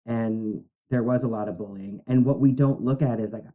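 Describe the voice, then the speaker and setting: A man co-hosting a podcast who talks in an animated, halting way with lots of fillers. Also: medium pitch, rough recording. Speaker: podcast co-host. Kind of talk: casual conversation.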